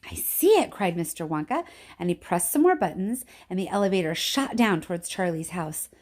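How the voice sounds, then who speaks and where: A woman teacher reading a children's story aloud in an expressive narrating style. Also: lowish voice, a little fast.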